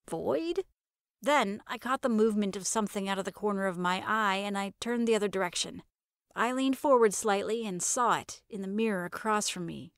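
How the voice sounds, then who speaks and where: A woman reading first-person comedic fiction in a wry, sardonic, expressive storytelling manner. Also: fairly high pitch, no echo, clean studio sound. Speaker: narrator of fiction podcast.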